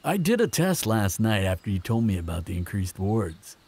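A man playing a proud, protective vampire in a fantasy roleplay audio drama, speaking in measured, sardonic threats. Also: sing-song, darkish tone, full character voice. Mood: firm. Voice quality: low, gravelly, husky. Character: proud protective male vampire, menacing, sardonic, fond of lover.

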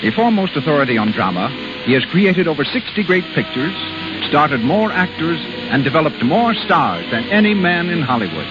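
A man's voice: fairly high pitch, sing-song, moderate pace. A man announcing a 1930s radio show from a script in a polished, declamatory style. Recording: muffled 1930s radio broadcast. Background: music.

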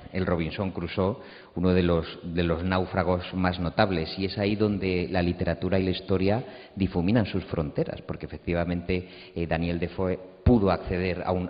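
A man. He speaks off the cuff into a handheld mic. The sound is dull and rings with long hall reverb. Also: low voice. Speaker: panel moderator. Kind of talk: unscripted panel introduction. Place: stage in an auditorium. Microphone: handheld microphone.

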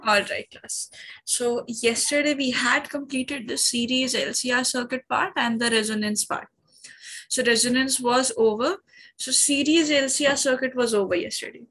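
Husky-voiced Indian woman teaching physics. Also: on the high side, fairly even intonation, moderate pace, a bit bright, poor audio. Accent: Indian English. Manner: explains step by step, addressing the class often. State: sore throat. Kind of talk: online class lecture.

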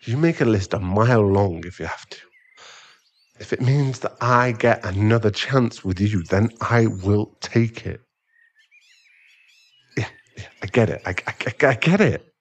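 A man's voice: on the low side, measured pace, dull audio. A man playing a remorseful sugar-daddy boyfriend in an ASMR audio roleplay, hesitant and stammering as he earnestly pleads for forgiveness. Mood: soft, devoted.

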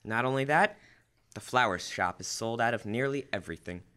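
A man's voice: mid-range voice, expressive, fairly quick, pushed and bright, clear, resonant tone. A young man playing an earnest, flustered, apologetic husband in old-time radio comedy. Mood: apologetic, dejected. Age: teens or young adult. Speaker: high school student actor. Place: school library room. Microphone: stand microphone.

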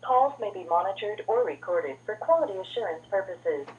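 A woman reads a scripted phone-system message at a medium pitch. The sound is dull and narrow, as heard down a telephone line, with a little noise behind it. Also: animated, a little fast, darkish tone. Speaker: automated phone line announcer. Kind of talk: scripted recorded phone announcement.

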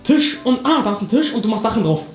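A young man with fires out excited guesses in a casual game. The room is echoey, and faint music plays behind him. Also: high-pitched, fast talker. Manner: excited, slangy exclamations. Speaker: player guessing in a pantomime game. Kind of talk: casual joking game chat among friends. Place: echoey room indoors at home.

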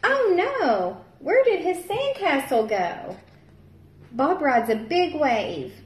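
A woman in her thirties, a teacher, reading a picture book aloud to young children and doing bright voices for the characters. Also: high voice, sing-song, slow. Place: home room, seated at a table with a child. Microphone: camera at table distance. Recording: echoey room.

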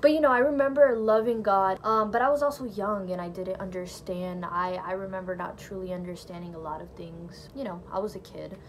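A young woman whose first language is Portuguese tells her personal testimony to camera from her bedroom. She rambles casually, full of fillers, at a middling pitch. Faint background noise sits under the close phone mic. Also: steady tone, a little fast, hardly any pauses. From Brazilian family. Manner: chatty, lots of "like" and "you know", laughs lightly. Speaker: vlogger sharing her faith testimony. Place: small bedroom, indoors. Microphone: phone or camera close in front.

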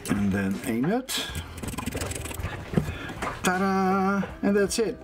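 A man's voice: high voice, music in the background. A man in his fifties casually talking through a motor repair at a small workbench, thinking aloud as he works. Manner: slow, relaxed, thinking aloud while working with his hands. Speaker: sailing vlogger repairing boat gear. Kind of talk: casual how-to narration. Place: small workshop bench aboard a boat.

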